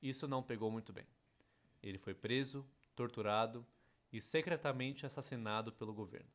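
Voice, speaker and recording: A man's voice: mid-range voice, a little fast. Brazilian man narrating a lesson. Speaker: educational presenter dubbing a theatre history course. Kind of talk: scripted narration.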